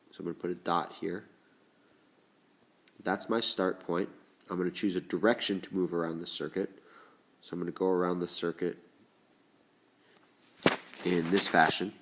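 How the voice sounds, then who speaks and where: Male teacher, very low voice, congested, stuffed-up nose, slow step-by-step explaining. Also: steady tone. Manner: slow, deliberate explaining while writing. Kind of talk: recorded classroom lesson.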